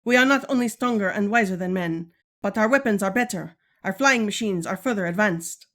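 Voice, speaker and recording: A regal Amazon queen, played by a woman, speaks with stately pride. She is formal and measured, like a mother instructing her daughter in a radio serial, at a middling female pitch. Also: a bit bright, close to an everyday voice. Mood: proud, stately. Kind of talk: 1940s-style radio serial acting.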